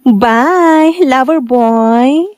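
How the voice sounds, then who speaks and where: A woman's voice: sits high, drawn-out words, a little mellow, no echo. A woman with a Filipino accent playing a flirtatious, defensive cheating wife in an audio drama, coy and dismissive.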